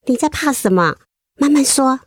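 An actress playing a gentle, comforting school nurse in a radio drama. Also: female voice, high voice, expressive, moderate pace, dry recording, studio quality. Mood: soothing.

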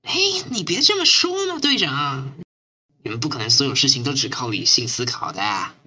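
A woman's voice: very deep voice, big pitch swings, moderate pace, pushed and bright, full character voice. Woman playing a sardonic, swooping-voiced chain-smoker. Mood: teasing. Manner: sardonic, griping, drawling. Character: sardonic, foul-mouthed chain-smoking squad member, weary and teasing. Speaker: actor in amateur radio drama. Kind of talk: amateur radio drama acting.

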